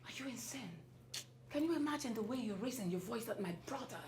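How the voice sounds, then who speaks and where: A woman's voice: fairly high pitch, lively intonation, fast, darkish tone. An actress playing an exasperated middle-aged mother who scolds her daughter. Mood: scolding. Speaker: mother and company owner's widow. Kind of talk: film drama acting.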